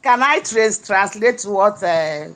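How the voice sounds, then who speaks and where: A woman speaks slowly and earnestly while translating a friend's prayer. Her pace is unhurried and deliberate. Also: medium pitch, animated, fairly bright tone. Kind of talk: casual chat, translating a prayer.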